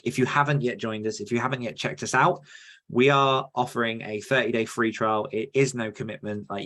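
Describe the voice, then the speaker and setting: A man with a fairly low voice hosts a podcast. He talks informally and rambles with enthusiasm, keeping it loose and conversational. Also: a bit bright. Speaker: podcast host. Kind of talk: casual podcast chat.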